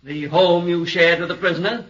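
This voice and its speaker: An actor playing a formal prosecutor putting deliberate questions to a witness in a 1940s radio play. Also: male voice, high-pitched, fairly even intonation, fairly bright tone. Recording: dull lo-fi old radio broadcast.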